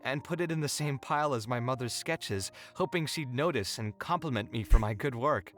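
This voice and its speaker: A man's voice: mid-range voice, normal speed, fairly bright tone, faint music behind, natural voice. A man playing an earnest, slightly wry young architect in an anime-style video game, speaking in an articulate, thoughtful, storytelling way. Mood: nostalgic, sheepish. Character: earnest young male architect reminiscing, expressive, a little wry.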